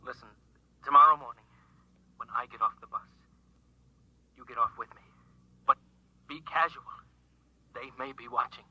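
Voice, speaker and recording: An actor playing a furtive, frightened caller, urgent and conspiratorial, through a telephone filter in old-time radio drama. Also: male voice, medium pitch, normal speed, pauses often, muffled recording. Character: furtive, frightened man giving secret warnings by telephone. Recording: filtered phone voice on vintage radio.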